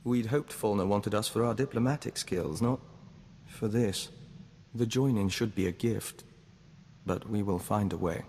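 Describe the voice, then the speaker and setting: An actor playing a calm, dutiful diplomat bound to a hive mind, speaking formally in video game dialogue. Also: male voice, fairly low pitch, in a large hall, a little hiss or hum. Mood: measured. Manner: formal, measured, speaks of himself as we.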